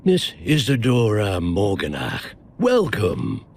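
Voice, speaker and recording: A man playing a dignified, formal wizard professor in a video game, speaking in a measured way. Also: fairly low pitch, wildly swooping pitch, darkish tone, slight background noise, exaggerated voice. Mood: grave, welcoming. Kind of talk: video game cutscene acting.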